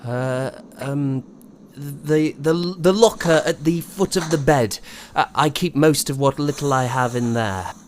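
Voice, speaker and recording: A man playing a feverish, smitten young gentleman composer in a period audio drama, halting, tender and polite. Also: fairly high pitch, a little hiss or hum, lightly acted. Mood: flustered, polite. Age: young adult. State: feverish and unwell.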